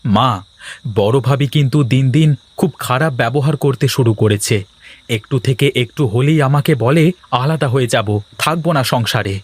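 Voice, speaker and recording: A man's voice: medium pitch, normal speed, husky, close to an everyday voice. A man voicing a married village son in a cartoon, exasperated yet placating and reasoning. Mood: complaining. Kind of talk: Bengali cartoon voice acting.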